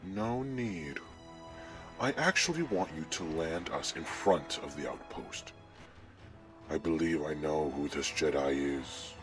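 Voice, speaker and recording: A man playing a menacing, commanding Sith lord in a sci-fi audio drama, speaking in a measured, imperious way with a cold, taunting edge. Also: rumbling low. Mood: stern, commanding. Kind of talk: scripted sci-fi audio roleplay acting. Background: background music.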